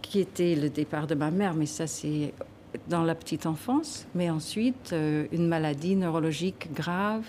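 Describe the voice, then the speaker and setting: A woman's voice: low voice, a little slow, warm tone, a little hiss or hum. A woman in her sixties from Canada, a guest author talking thoughtfully and emphatically in a TV studio interview at a desk microphone. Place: TV news studio.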